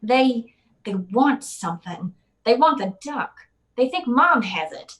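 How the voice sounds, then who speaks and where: A woman's voice: fairly high pitch, lively intonation, slow pace, fairly bright tone. A woman playing a tough, wisecracking, unflappable private detective in an old-time radio drama, with clipped, slangy delivery. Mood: grave.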